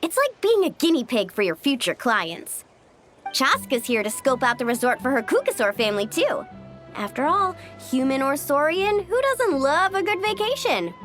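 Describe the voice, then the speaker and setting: An outgoing young female travel guide in a fantasy game. She is high-pitched and playful, with very lively intonation and faint music underneath. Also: moderate pace. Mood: breezy, confident. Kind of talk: animated game voice acting.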